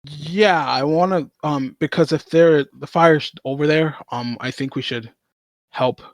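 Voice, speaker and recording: A man's voice: fairly high pitch, measured pace, no room sound. A man playing a tabletop game, talking casually.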